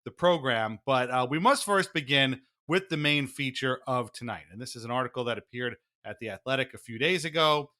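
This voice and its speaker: A man's voice: medium pitch, a little fast, hardly any pauses, sharp tone. A man hosting a podcast in a theatrical, announcer-like, mock-formal way, moving between banter and dramatic reading. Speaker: podcast host.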